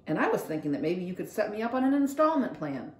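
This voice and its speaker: Woman as an earnest, lively young girl. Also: mid-range voice, fast, reverberant, distant mic, natural voice. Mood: earnest pleading. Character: earnest, polite, persuasive young preacher's daughter, storybook narrator girl.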